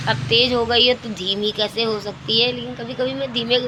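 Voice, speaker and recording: A woman's voice: on the high side, fairly even intonation, normal speed. A middle-aged woman from India chatting casually as a lifestyle vlogger, repeating phrases and searching for words as she goes. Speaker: lifestyle vlogger, homemaker and mother. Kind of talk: casual chat about daily routine. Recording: clear background ambient and vehicle noise.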